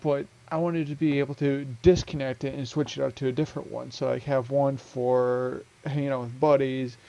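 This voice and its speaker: A man's voice: mid-range voice, little pitch movement, moderate pace, vocal fry, dark tone, rough recording. A young man casually walking through a game build he made, rambling and correcting himself with many ums, into a headset mic at home. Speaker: gaming video creator.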